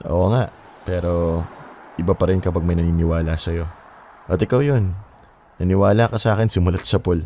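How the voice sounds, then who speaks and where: An actor playing an earnest, apologetic young man in love in a radio romance drama, with a Filipino accent and halting sincerity. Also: deep voice, mellow, a little hiss or hum, lightly acted. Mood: grateful, earnest.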